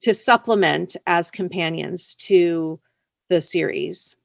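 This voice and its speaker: A woman's voice: on the low side, slow, vocal fry, no echo, studio quality. A woman speaking casually as an author being interviewed.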